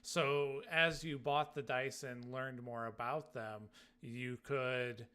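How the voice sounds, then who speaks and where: Male gaming podcast co-host, casual, slow-paced talk with a bright, forward tone. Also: medium pitch, steady tone, clear, resonant tone. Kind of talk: casual chat about video games.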